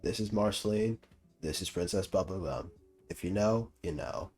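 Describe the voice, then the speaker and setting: A man's voice: sits low, moderate pace, a little mellow, faint music behind. A young man giving casual, slangy and joking commentary as he streams a game. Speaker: gaming streamer.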